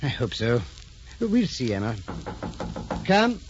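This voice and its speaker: An actor playing a fond, indulgent ambassador husband with formal, genteel courtesy in an old radio drama. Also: male voice, mid-range voice, very animated, slow pace, over music. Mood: courteous. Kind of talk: 1940s radio drama acting. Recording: dull, noisy old radio recording.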